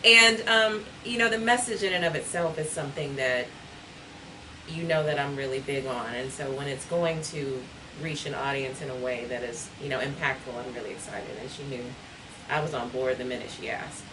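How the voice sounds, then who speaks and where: A woman actor with a very low voice talks about her role in an easygoing way, full of fillers. She sounds set back from the mic, with steady noise around her. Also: moderate pace. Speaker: stage actor and guest. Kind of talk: casual interview chat. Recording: distant mic with noisy background.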